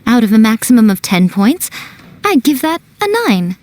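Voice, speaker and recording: A woman's voice: a little high, sing-song, normal speed, a little mellow. A woman voicing a sly, teasing shrine priestess who runs a publishing house, in a video game. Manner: teasing, playful, superior.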